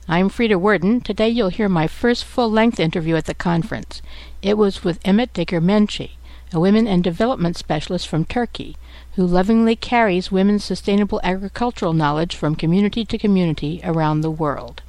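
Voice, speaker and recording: Woman radio host, measured delivery, dry recording. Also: medium pitch, a little mellow, dry recording, low-quality recording. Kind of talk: scripted radio narration and interviewing.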